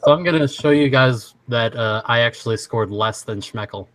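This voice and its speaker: A young man from Washington state hosting a casual online chat, easygoing and quick to laugh as he reads quiz statements. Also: medium pitch, fairly bright tone. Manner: easygoing, laughs often, halting restarts. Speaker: stream host.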